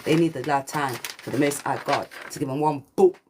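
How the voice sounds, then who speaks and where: A woman with a deep voice giving a channelled spiritual reading, preachy and repetitive, with swearing and slang. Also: brisk, noisy background. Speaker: spiritual reader. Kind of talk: channelled collective reading monologue.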